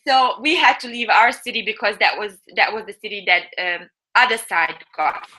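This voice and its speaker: A woman's voice: mid-range voice, normal speed, sharp tone, rough recording. A woman in her thirties from eastern Europe, not a native English speaker, telling her story in an interview with many hesitations. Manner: hesitant, many fillers and restarts, laughs often. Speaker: guest. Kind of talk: first-person interview account.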